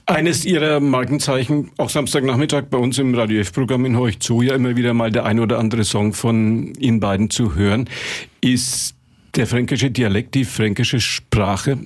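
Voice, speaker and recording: Male radio host, husky, middle pitch, smooth interview questions. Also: fairly even intonation, moderate pace.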